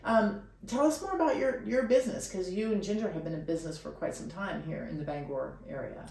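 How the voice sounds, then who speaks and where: A woman's voice: lowish voice, fairly quick, gravelly, a little mellow. A woman hosting a conversational radio interview, asking questions and adding comments. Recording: echoey room, faint background noise.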